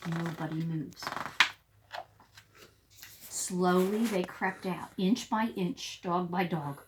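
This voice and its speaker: A woman reading a picture book aloud to young children as a teacher, expressive and pausing to explain things. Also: lowish voice, background noise. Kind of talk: animated read-aloud storytelling.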